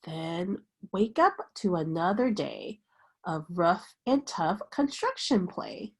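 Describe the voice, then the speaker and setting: A woman reading a children's picture book aloud like a teacher, with an expressive, sing-song bedtime delivery. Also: on the low side, takes it slowly, slightly rough.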